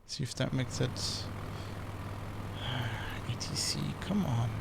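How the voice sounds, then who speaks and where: A man with a gravelly, fry-edged voice chats loosely while streaming, speaking English. His talk is casual and meandering, full of false starts and self-corrections. His pitch sits at an ordinary middle level for a man. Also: very slow, whispered. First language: German. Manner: rambling, with many restarts and self-corrections. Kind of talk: casual flight-sim stream commentary.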